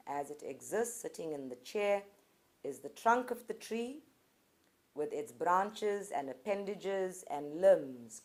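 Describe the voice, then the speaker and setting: Slow, low-pitched woman guiding meditation. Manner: slow, deliberate, pausing between short phrases. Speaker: meditation guide. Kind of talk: voice-guided group meditation. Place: live group session at a centre.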